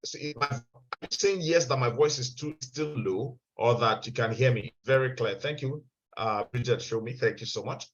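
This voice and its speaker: A man leads an online seminar in a mid-pitched voice, restarting phrases and repeating words as he goes. The sound is dull and lo-fi, as heard over a video call. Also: moderate pace. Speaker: webinar presenter.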